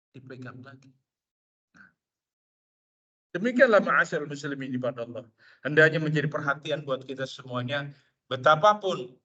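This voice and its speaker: Man, Indonesian-accented preacher, lively mosque lecture, dull lo-fi sound. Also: a little high. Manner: expressive, acts out quoted people in asides.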